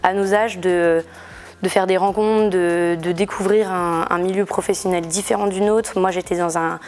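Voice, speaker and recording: Lowish-voiced young Frenchwoman, hesitant. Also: steady tone, normal speed. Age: young adult. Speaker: student back from internship abroad. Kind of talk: informal interview testimonial.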